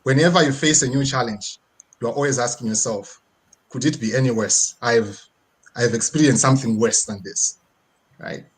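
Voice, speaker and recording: Zimbabwean male speaker, mid-pitched and husky, conversational motivational talk over video call. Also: moderate pace. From rural Midlands region of Zimbabwe. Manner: conversational, frequent tag questions seeking agreement. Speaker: guest speaker. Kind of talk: personal motivational presentation. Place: online video presentation with screen sharing.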